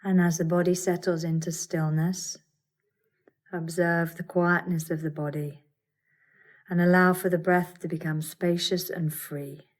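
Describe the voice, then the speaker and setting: Female yoga nidra guide, lowish register, soothing and measured instruction for a meditation practice. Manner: calm, soothing, deliberate instruction with pauses. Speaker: yoga nidra teacher guiding a relaxation practice.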